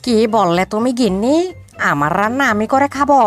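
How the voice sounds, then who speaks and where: A woman's voice: on the high side, animated, a little fast, lightly acted. A woman voicing an aggrieved, blustering male monkey husband in a cartoon, grumbling and exclaiming repeatedly. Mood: angry, blustering. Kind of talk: cartoon voice acting. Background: background music.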